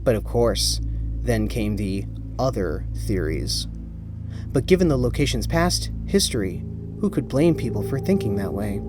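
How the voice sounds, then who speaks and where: A man with a mid-pitched voice reads a scripted horror story. His delivery is dramatic and measured, with suspenseful pauses, and music plays clearly underneath. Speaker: narrator. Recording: music behind the voice.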